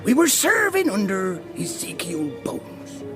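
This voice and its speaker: An actor playing a swaggering, menacing pirate crewman in an audio drama, with a gravelly voice. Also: medium pitch, huge pitch swings, a little slow. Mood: ominous. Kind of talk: full-cast audio drama. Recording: loud music under the voice.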